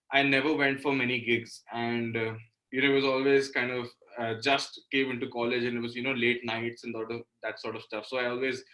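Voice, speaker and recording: Young man, Indian accent, bright forward voice, earnest, conversational interview guest. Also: mid-range voice, low-quality recording. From Delhi, India. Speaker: interview guest, indie singer-songwriter. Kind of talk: casual conversational interview answers.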